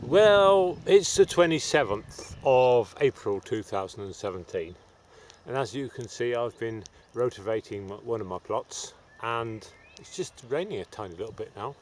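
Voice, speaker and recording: A middle-aged man giving a casual, unhurried, matter-of-fact gardening vlog demonstration in a rambling way. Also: medium pitch, animated. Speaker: allotment gardener presenting own video. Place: outdoors on an open allotment plot. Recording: outdoor background noise with birdsong.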